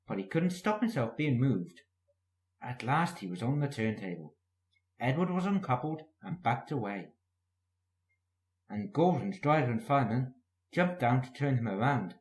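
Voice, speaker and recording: Guy narrating a kids storybook, very up and down delivery, echoey room. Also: medium pitch. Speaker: storybook reader. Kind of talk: animated scripted children's story reading.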